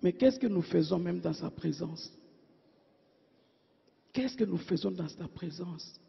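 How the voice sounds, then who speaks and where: Woman preacher, husky and high, rhetorical questions, loud then hushed; muffled church hall echo. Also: expressive, a little slow. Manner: asks the congregation rhetorical questions; swings between emphatic and hushed. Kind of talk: sermon. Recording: dull sound in a reverberant church hall.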